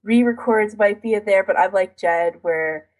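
A woman with a husky, slightly rough voice talks casually as a podcast co-host. Her delivery is rambling and conversational, peppered with "like" as she restarts her thoughts. Her pitch sits in the middle of the female range. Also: normal speed, a little mellow. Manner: rambling, filler-heavy delivery with frequent "like". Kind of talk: casual chat about audio editing.

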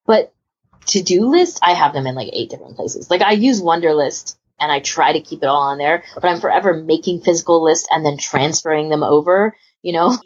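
Husky, low-voiced woman chatting casually. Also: brisk. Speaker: podcast co-host. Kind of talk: casual chat. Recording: dull lo-fi voice recording.

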